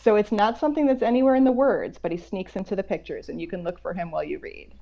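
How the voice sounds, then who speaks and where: A woman author reading a picture book aloud to children, going between slow measured reading and conversational explanatory asides. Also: medium pitch, creaky, mellow. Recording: dull, lo-fi online call audio.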